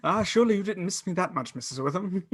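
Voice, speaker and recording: A man acting in a radio play as a bookish, excitable young student with a theatrical, formal delivery. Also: sits high, brisk, slightly rough, heavily acted. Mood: breezy, dismissive.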